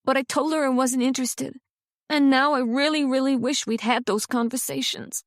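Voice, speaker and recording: A woman's voice: sits high, fairly even intonation, moderate pace, dry recording, clean studio sound, voice pushed up. A woman voicing a young woman grieving her mother, shaky and tearful. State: crying.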